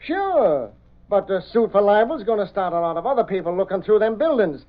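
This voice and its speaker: A sly, cynical American building inspector on the take. The voice is high and streetwise, in muffled 1930s radio drama sound. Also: male voice, sing-song, fairly quick. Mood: sly, persuasive. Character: crooked, cynical, slangy male building inspector. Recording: dull, band-limited old radio recording.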